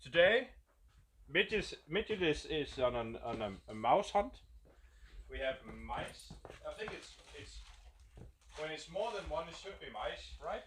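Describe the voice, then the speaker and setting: A man's voice: fairly high pitch, very animated, unhurried, a bit bright, slight background noise. A man with a Danish accent, a game designer casually explaining a new roleplay setup while thinking aloud and searching for words.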